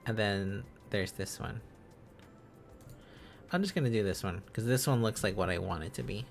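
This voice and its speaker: A man hosting an informal language-learning livestream, thinking aloud in a halting, casual, self-correcting way. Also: lowish voice, creaky, soft background music. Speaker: livestream host learning Korean along with viewers.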